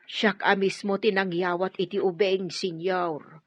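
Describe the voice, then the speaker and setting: A woman's voice: medium pitch, fairly even intonation, a little slow, fairly bright tone. An actress playing a nervous, deferential housemaid in a radio drama, who keeps calling her employers sir and ma'am. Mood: flustered. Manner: deferential, flustered. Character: nervous middle-aged female housemaid, eager to please.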